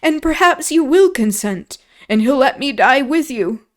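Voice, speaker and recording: A woman's voice: high voice, expressive, measured pace, voice pushed up. A woman doing a sobbing, terrified weak boy. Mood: pleading sobs. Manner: sobbing, pleading.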